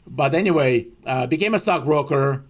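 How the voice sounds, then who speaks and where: A middle-aged man with a Ukrainian accent, a guest telling his life story in a relaxed interview over a video call, acting out his own past thoughts. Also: mid-range voice, moderate pace. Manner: animated, quotes his own past thoughts, frequent fillers.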